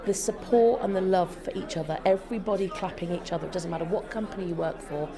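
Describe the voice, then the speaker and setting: Female executive interviewee, lowish voice, emphatic and gushing, speaking over a busy crowd. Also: quick pace. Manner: effusive, emphatic. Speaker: interview guest, joint chief executive of a theatre company. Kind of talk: enthusiastic interview talk. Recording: busy crowd chatter behind voice. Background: event crowd.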